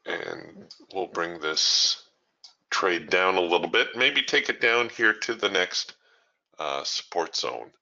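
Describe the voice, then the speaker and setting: A man hosting a trading training room, thinking aloud in a relaxed way as he walks through live trades. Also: low-pitched, slow, creaky, highs cut off. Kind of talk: live trading commentary over screen share.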